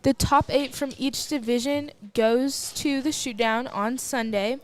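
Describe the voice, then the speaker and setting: A woman's voice: high-pitched, steady tone, a little slow, poor audio. A teenage girl with an American accent giving casual live sports commentary in a chatty, easily sidetracked, informal way. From Texas, United States. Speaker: youth broadcast commentator.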